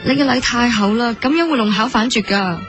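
A woman's voice: fairly high pitch, brisk, fairly bright tone, natural voice. A woman with a Hong Kong Cantonese accent acting a prickly, proud young café woman who also narrates her story in a radio drama. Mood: indignant. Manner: earnest, indignant, introspective. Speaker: lead actress and narrator. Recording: studio drama with music underneath.

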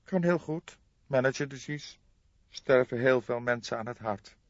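A man's voice: medium pitch, unhurried, no echo, lo-fi sound, pitched above the performer's own voice, close to an everyday voice. A man voicing a haughty, evasive doctor in his late fifties for an audiobook, growling and hemming nonchalantly. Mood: evasive, indifferent. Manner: nonchalant, hesitant hemming and drawling.

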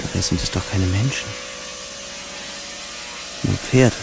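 An actor playing a young German soldier who narrates the horrors of the front in terse, intense fragments for a radio play. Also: male voice, sits low, slow pace, vocal fry, background noise, heavily acted. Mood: anguished, somber. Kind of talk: dramatic radio play narration in present tense. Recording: loud war effects and music underneath. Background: music, battle sound effects.

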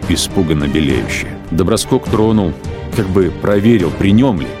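A man narrating a literary radio drama in a measured, deliberate manner. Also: deep voice, lively intonation. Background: music.

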